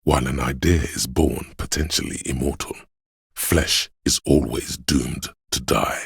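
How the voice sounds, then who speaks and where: A man voicing a wry, pretentious inner voice of artistic thought in a video game, narrating with measured, droll pomposity and a very deep, gravelly, husky delivery. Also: fairly even intonation, no echo, studio quality, heavily acted. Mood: wry musing.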